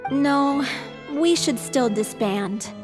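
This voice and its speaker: A woman playing a frail, weary young theatre performer in a video game, speaking with gentle resignation. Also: high voice, animated, very slow, full character voice. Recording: reverberant, faint music behind.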